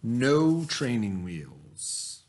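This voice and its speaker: A man speaking as a teacher to children, expressive and encouraging, with dramatic emphasis and pauses. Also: mid-range voice. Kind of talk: expressive read-aloud for children.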